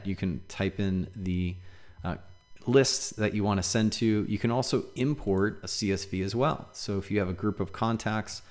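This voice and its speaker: A man with a fairly low voice presents a software demo. He explains each step in a casual, conversational way, often checking in with a short tag question as he goes.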